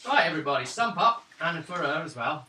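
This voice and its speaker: Man, game master, bright forceful voice, casual tabletop narration, echoey room, distant mic. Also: a little high, expressive, a little fast. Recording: roomy, distant table mic, faint noise.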